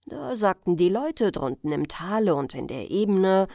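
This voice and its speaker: A woman reading a fairy tale as an audiobook narrator, in an expressive, deliberate storytelling manner. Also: medium pitch, no echo, clean studio sound.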